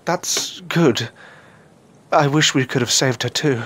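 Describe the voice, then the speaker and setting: A man playing a weary, bewildered adventurer in an audio drama, speaking in a halting, earnest way. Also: mid-range voice, a bit bright, slightly rough. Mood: relieved. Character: weary, bewildered male adventurer returned from limbo, reflective and sincere.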